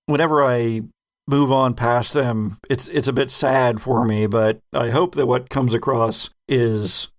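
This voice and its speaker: A man's voice: medium pitch, steady tone, unhurried. A man hosting as game master, reflecting casually in a group discussion, often stopping to rephrase himself in a thoughtful way. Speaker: game master and podcast host.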